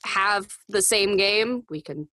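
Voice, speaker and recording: A woman's voice: mid-range voice, takes it slowly, pushed and bright. A woman speaking as a podcast guest in casual, playful, informal conversation. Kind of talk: casual chat.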